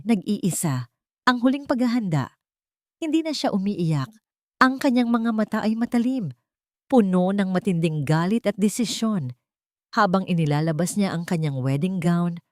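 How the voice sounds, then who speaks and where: A woman's voice: medium pitch, a little mellow, no echo, studio quality. A woman with a Filipino accent narrating a scripted romantic drama story.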